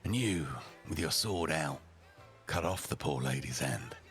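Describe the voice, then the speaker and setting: A man voicing a composed, coldly defiant young noblewoman in a storybook tale, gravelly and deliberate. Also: extremely low pitch, animated, fairly bright tone, faint music behind, heavily acted. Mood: cold, measured. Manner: deliberate, dramatic, icy.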